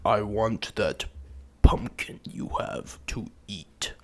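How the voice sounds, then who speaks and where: A man voicing a big, dim-witted, hungry giant who talks in drawn-out, broken simple words. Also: fairly low pitch, fairly bright tone, pitched below the performer's own voice. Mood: blunt, hungry.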